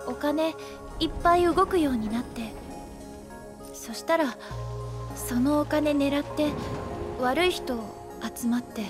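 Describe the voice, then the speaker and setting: An actress voicing a cheerful, playful young woman in a Japanese video game, with a breezy, teasing way of talking. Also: high-pitched. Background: background music.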